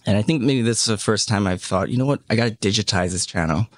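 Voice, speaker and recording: A man in his thirties who grew up in Hong Kong, a podcast guest telling his life story in a casual, halting way, full of "um" and "you know". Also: on the low side, dry recording. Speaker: podcast guest, media entrepreneur.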